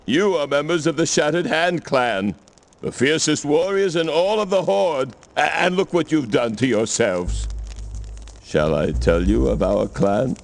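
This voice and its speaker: A man's voice: fairly high pitch, unhurried, vocal fry. A man voicing a surly, boastful orc leader in a cartoon fantasy game, with a blustering, declamatory delivery. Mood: proud, scolding.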